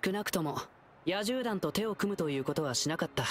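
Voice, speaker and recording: An actor voicing a calm, wise young guardian who lectures gently in a game cutscene. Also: male voice, sits high, brisk, slight background noise. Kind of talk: Japanese game voice acting.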